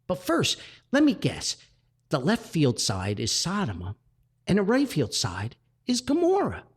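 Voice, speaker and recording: A man's voice: a little high, huge pitch swings, a little slow, slightly rough, voice pushed up, full character voice. An inquisitive, prim lady from a comic story, acted out by a man. The voice lifts and swoops with eager politeness as it chats and questions. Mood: eager, curious. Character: curious woman baseball lover, prim and eager questioner.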